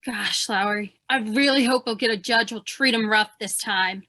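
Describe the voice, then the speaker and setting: Woman playing a bright, perky, sarcastic photographer sidekick. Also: fairly high pitch, moderate pace. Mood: eager. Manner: wisecracking. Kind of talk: old time radio drama acting.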